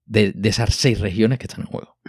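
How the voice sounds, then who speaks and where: Low-voiced Spanish man, enthusiastic explainer. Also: steady tone, a little fast, vocal fry, a little mellow, dry recording, studio quality. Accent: Spanish (Spain). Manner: enthusiastic, explanatory, often ends with tag questions. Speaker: podcast co-host. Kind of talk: casual board game discussion.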